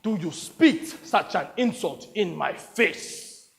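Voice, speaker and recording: Man putting on a blustering warlord general, booming, slow and grand, high-pitched, swinging wildly in tone, long echoing reverb. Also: animated, gravelly, exaggerated voice. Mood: outraged. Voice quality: booming, drawn-out declarations.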